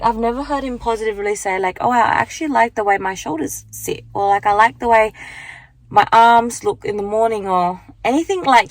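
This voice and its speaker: Young woman, croaky morning voice, rambling casual vlog chat into a handheld phone, dry close sound. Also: on the high side, dry recording. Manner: chatty, rambling, lots of fillers. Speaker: vlogger. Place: car at the beach, then walking outdoors.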